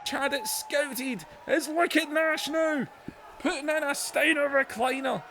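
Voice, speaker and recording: Excitable high-voiced man commentating wrestling. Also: measured pace, some chatter behind, slight background noise. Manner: excitable, shouts and laughs at big moves. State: voice going hoarse. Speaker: play-by-play commentator for a wrestling video game.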